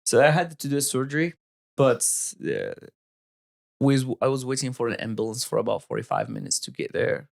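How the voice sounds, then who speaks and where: Gravelly Moroccan man, halting English. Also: mid-range voice. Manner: halting, searching for English words, restarts. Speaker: podcast guest. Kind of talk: casual storytelling interview.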